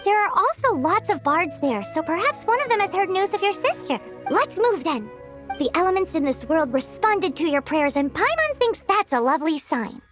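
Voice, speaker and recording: A woman voicing a tiny, childlike, eager fairy companion in a game, perky and squeaky. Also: very animated, normal speed, fairly bright tone, exaggerated voice. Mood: chirpy, eager. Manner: perky, speaks of herself in third person. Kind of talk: animated game character dialogue. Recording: music behind the voice.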